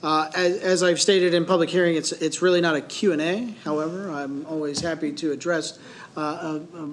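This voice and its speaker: A man's voice: fairly high pitch, steady tone, fairly quick. A man chairing a city council meeting, formal and procedural as he moves through the agenda.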